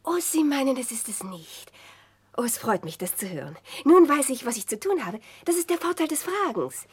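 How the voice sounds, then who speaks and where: A sharp, insinuating lady companion in an old German radio play. Her voice is fairly high and husky, with very mobile intonation. She poses innocent-sounding questions that slide into whispers and carry a hidden sting. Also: sing-song, moderate pace, heavily acted. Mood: sly, pointed. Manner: hints in feigned-innocent questions, often dropping to whispers.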